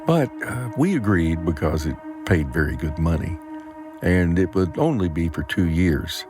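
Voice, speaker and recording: An actor playing a weary, regretful old farmer and trapped father, telling his story gravely in an audio drama. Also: deep voice, lively intonation, measured pace. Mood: rueful. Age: older. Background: music.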